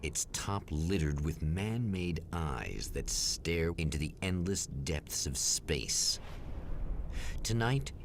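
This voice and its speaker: A man playing the portentous, measured narrator of an eerie anthology TV show in a video game. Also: very deep voice, expressive, a little hiss or hum, heavily acted. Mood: ominous, portentous. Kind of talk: scripted dramatic TV narration in Twilight Zone manner. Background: music.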